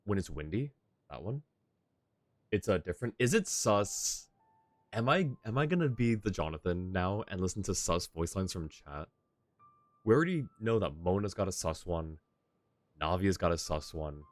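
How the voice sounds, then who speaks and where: A male game streamer with a low voice talks casually while he plays. He rambles and laughs often. Quiet music runs underneath. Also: lively intonation, moderate pace, dry recording. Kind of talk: casual gameplay chat.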